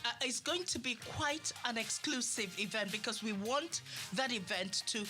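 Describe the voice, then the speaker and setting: A woman's voice: on the high side, expressive, moderate pace, over music. A middle-aged woman talking enthusiastically and emphatically as a guest in a radio interview. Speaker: guest, awards organiser promoting an event.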